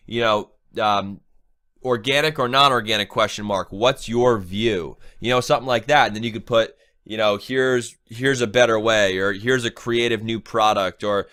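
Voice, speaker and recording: A young man with a bright, forward tone, walking through an online ad setup. His cold leaves him slightly nasal. He talks in a loose, chatty way and keeps checking in with "right?". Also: on the low side, clean tone. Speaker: online marketing trainer. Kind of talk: screen-share tutorial.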